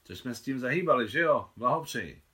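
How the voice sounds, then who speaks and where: A gentle, fatherly soldier with a thick moustache, read by a man, speaking kindly to children in a storybook. The voice is somewhat raised above the reader's usual tone and keeps a bright, forceful ring. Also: mid-range voice, expressive, pitched above the performer's own voice. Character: kindly mustached older soldier.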